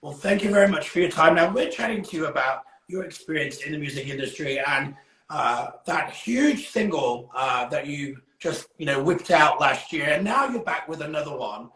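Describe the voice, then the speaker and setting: A male interview host speaks in a gravelly voice pitched a little high. He is effusive and casual as he runs a live online show, and the room around him rings with clear echo. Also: expressive, moderate pace, pushed and bright. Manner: effusive, exclaiming. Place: indoors, live social media stream.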